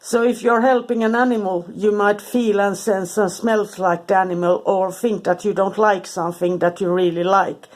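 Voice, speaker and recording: A woman speaks casually and hesitantly, with frequent ums and restarted sentences. She has a cold and sounds stuffy and sniffly. Her pitch sits in an ordinary middle range. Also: steady tone, normal speed, few pauses, darkish tone. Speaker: spiritual content creator. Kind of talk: casual informal talk to camera.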